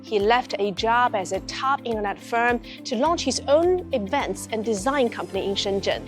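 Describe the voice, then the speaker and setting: Breezy upbeat woman host, fairly high. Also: lively intonation, normal speed, soft background music. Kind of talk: presenting a magazine show.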